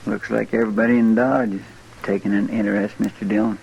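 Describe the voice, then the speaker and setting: A man's voice: lowish voice, little pitch movement, quick pace, creaky, darkish tone. A man acting a loyal, simple, deferential frontier deputy in a 1950s radio western, earnest and folksy. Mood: earnest, obliging. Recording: muffled, faintly noisy old radio recording.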